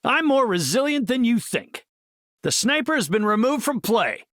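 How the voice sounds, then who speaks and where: A man's voice: very high-pitched, big pitch swings, moderate pace, a bit bright, dry recording, studio quality, full character voice. A male actor playing a confident, middle-aged scientist superhero in a video game, speaking in an articulate, earnest, scholarly way. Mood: heroic, urgent. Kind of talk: heroic video game voice acting.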